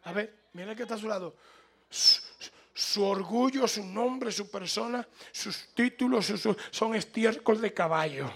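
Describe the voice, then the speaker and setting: Man, Latin American Spanish accent, preacher, bright forceful tone, gravelly edge, emphatic, repetitive, informal sermon delivery. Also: sits high, a little slow. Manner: emphatic, repetitive, prodding listeners to respond. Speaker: preacher taking questions from congregation.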